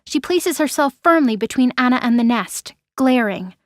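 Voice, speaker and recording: A woman's voice: high voice, animated, normal speed, no room sound, studio quality. A woman reading scripted dramatic narration for an audio story.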